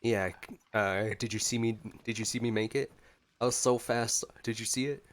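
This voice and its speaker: A man's voice: medium pitch, steady tone, normal speed. A man giving casual, playful reaction commentary on a video game, informal and teasing. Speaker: gameplay commentator. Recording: game music behind the voice.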